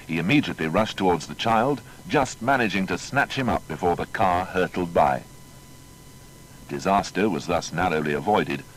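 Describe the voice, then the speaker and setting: Deep-voiced man narrating a biography. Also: a little hiss or hum, poor audio. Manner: measured, formal, storytelling. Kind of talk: scripted biographical narration with dramatic storytelling.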